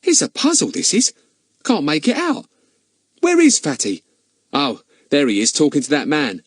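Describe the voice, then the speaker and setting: Man as an excitable, high-pitched young schoolboy. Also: normal speed, breathy edge, no room sound, studio quality, full character voice. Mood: puzzled, urgent. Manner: eager, puzzled exclaiming.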